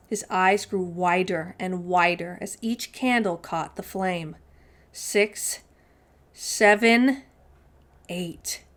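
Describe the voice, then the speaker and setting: Slow-paced woman reading a storybook. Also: fairly low pitch. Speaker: children's storytime host. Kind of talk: dramatic storybook read-aloud for young children.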